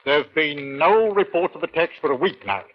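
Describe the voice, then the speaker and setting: Dutiful British police officer, husky, clipped and deferential, radio crime drama, dull vintage lo-fi sound. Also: male voice, a little high, expressive, moderate pace. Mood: businesslike. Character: dutiful male police subordinate, deferential and keen. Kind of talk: old-time radio crime drama acting.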